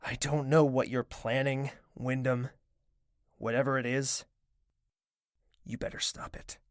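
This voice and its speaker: A man playing a brooding, guarded young prince with a curt, measured delivery in an audio drama. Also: medium pitch, level tone, no echo, studio quality, close to an everyday voice. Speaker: narrator and lead.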